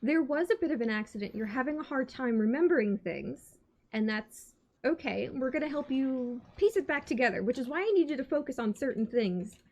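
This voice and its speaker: A woman's voice: high voice, lively intonation, brisk, a little mellow. A woman playing a coaxing, soothing, somewhat patronizing vampire woman in a tabletop game. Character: coaxing, motherly vampire woman, gently patronizing New Yorker.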